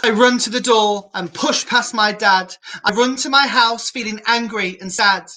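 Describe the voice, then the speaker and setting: Bright-voiced, slow-paced woman storyteller. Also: a little high. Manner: animated, encouraging, theatrical, with gasps. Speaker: children's storyteller. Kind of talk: expressive picture-book reading aloud to children.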